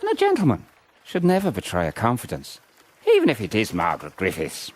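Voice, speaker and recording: An actor playing a roguish, lovelorn soldier in a First World War radio drama, with a very animated delivery. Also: male voice, lowish voice, fairly quick, husky, heavily acted. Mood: cheeky, amused. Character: roguish, lovelorn Irish soldier in WW1 trenches.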